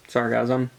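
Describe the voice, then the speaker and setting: A man with a congested, gravelly voice from a cold, chatting casually as he shows off a collection haul in a halting way. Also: medium pitch, slight background noise. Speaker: comic book collector presenting a haul. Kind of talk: casual show-and-tell vlog chat.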